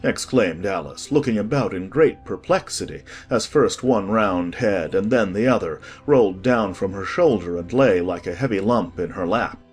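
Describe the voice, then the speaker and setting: A man narrating a storybook from a script as an expressive audiobook reader. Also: fairly low pitch, normal speed, hardly any pauses, soft background music.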